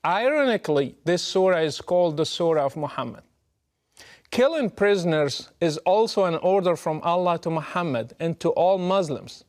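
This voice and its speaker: A man from Morocco with a Moroccan Arabic accent delivers a prepared political address in an earnest, emphatic and measured way. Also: fairly high pitch, lively intonation, darkish tone.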